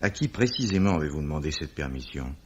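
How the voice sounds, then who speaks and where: An actor playing a grave, world-weary police commissioner in a measured, ominous manner, in the style of a 1950s French radio mystery. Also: male voice, very deep voice, sing-song. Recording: muffled vintage radio recording, faint noise.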